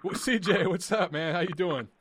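A man hosting a live boxing call-in podcast, emphatic and opinionated, his voice going hoarse. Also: sits high, moderate pace, very noisy. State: losing his voice.

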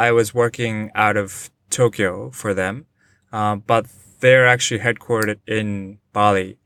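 Even-toned man in his forties from Japan. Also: lowish voice, smooth. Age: 40s. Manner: thoughtful, many "uh" fillers. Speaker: guest. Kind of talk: casual interview conversation. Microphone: remote online call.

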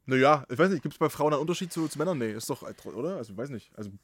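A man from Saxony with a slight Saxon accent, hosting a podcast in casual, animated chat. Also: on the high side, racing. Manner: animated, emphatic, swears casually. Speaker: podcast host.

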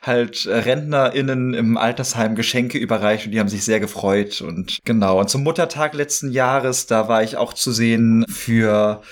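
A man's voice: medium pitch, normal speed, hardly any pauses. A man, chatting casually and enthusiastically as a podcast guest. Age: 30s. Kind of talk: casual chat.

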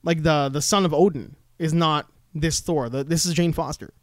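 A man's voice: on the high side, fairly even intonation, normal speed, no echo, studio quality. A man in a casual, sweary chat about films and comics as a podcast co-host, emphatic and given to repeating phrases.

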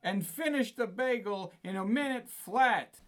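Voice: mid-range voice, animated, measured pace, close to an everyday voice. A performer doing an indignant shop owner. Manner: indignant, defensive, boastful. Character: defensive, bragging shop owner. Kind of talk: improvised comedy.

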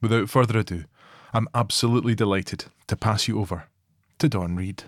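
A man hosting a podcast, reading a scripted introduction in a measured, orderly way. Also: lowish voice. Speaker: podcast host.

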